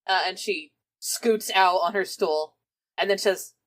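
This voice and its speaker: A woman player improvises role-play at a tabletop game. Her voice is medium in pitch, and she talks in a casual, chatty way. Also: a little slow.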